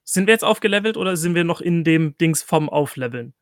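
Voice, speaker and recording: A man's voice: a little high, animated, a little fast, no echo, studio quality. A man playing a tabletop role-playing game, chatting casually and thinking aloud, mixing in English game terms.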